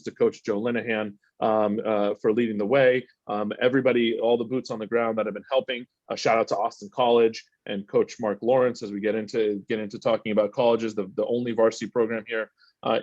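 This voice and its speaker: A middle-aged man rattles through a webinar talk at speed, leaning on "uh" and "right?" as he goes. His voice sits mid-pitch and is recorded dry, with a slightly dull, muffled edge. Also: no echo. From Egypt. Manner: rapid, energetic, peppered with "uh" and "right?". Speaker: water polo executive director giving a recruiting webinar. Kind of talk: fast, informal presentation with frequent fillers.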